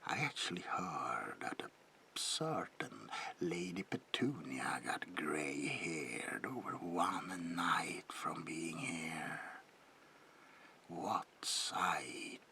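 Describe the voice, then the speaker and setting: A man voicing an eerie, courteous old vampire baron in a breathy gravelly whisper for an ASMR horror roleplay. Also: low-pitched, sing-song, pushed and bright, a little hiss or hum, full character voice. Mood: ominous, musing. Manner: slow, theatrical, lingering whisper with archaic politeness.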